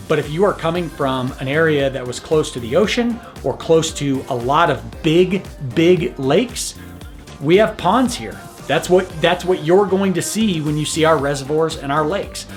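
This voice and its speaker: Chatty man with a higher voice, soft music behind. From Colorado. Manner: chatty, frank and joking, with restarts. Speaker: real estate host of a city channel. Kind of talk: casual talking-to-camera video.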